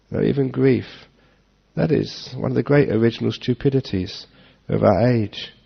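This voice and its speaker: A man giving a relaxed Dharma talk to an audience, joking and chuckling as he answers questions. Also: medium pitch, creaky, husky. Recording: dull lo-fi talk recording.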